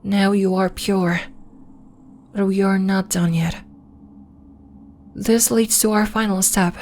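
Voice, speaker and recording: Woman voicing a slow, serene alien moon healer. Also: mid-range voice, little pitch movement, a little mellow, a little hiss or hum, close to an everyday voice. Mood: solemn, reassuring. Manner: slow, deliberate, soothing, formal phrasing. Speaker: ASMR roleplay voice actor. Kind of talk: ASMR roleplay, soft scripted monologue addressed to listener.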